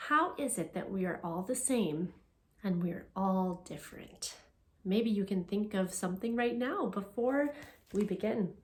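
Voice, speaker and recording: A woman teacher reading aloud to young children in a simple, deliberate, encouraging way, often posing questions to them. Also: medium pitch, expressive, darkish tone. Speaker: elementary school language teacher. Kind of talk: children's read-aloud lesson.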